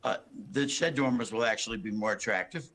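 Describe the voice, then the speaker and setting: Hoarse gravelly man at a meeting. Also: on the low side, fairly quick, a bit bright. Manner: rambling, many restarts and fillers. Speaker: applicant presenting a building plan. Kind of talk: public meeting testimony.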